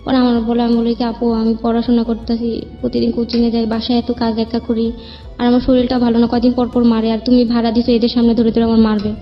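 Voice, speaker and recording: A woman with a fairly high voice and a Bangladeshi accent recounts her own life as an interview guest. She speaks in a plain, rambling way and acts out reported dialogue. The sound is slightly dull, with music playing behind her. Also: completely flat delivery, quick pace. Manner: rambling, plain-spoken narration with quoted dialogue. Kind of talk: personal storytelling interview. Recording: band-limited dull sound with background music.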